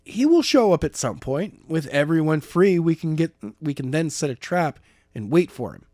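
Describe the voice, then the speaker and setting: A man's voice: a little high, big pitch swings, moderate pace, warm tone, no echo, studio quality, voice pushed up. A man reading a stubborn, defiant young man with powers in his own plain voice, pushing his point insistently. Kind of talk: amateur audiobook reading.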